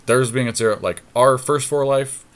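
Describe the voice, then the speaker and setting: A man co-hosting a podcast, casually commentating on a game and thinking out loud as he reasons through each move. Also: medium pitch, normal speed. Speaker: podcast co-host.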